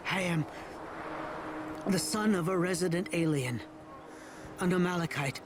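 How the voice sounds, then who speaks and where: A high-voiced, anxious young messenger. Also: male voice, unhurried, background noise. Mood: earnest, anxious. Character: young Amalekite man, anxious battlefield messenger. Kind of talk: dramatised audio Bible acting. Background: music.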